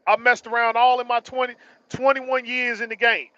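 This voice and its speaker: High-pitched, emphatic man in his forties. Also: flat delivery, moderate pace, pushed and bright, no room sound, muffled recording. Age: early 40s. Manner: emphatic, repeats phrases for stress, slangy. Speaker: truck driver vlogger. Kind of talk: casual motivational monologue.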